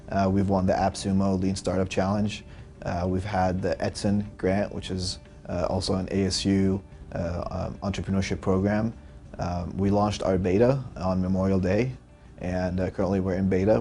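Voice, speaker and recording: Deep, flat-voiced man. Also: creaky. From Lebanon. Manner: earnest, with frequent fillers. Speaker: startup founder. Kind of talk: interview answers.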